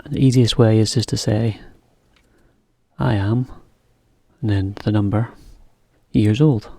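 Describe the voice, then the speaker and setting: A man teaching a beginner English lesson, explaining slowly in plain words with example sentences. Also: on the low side, fairly even intonation, creaky, mellow, dry recording.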